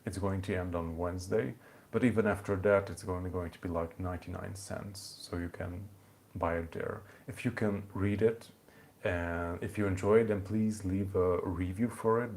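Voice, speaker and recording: A man's voice: deep voice, a little mellow. A young man from Hungary with a Hungarian accent, hosting a rambling, casual live-stream chat from home, full of fillers and restarts. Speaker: channel host. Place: home in the evening.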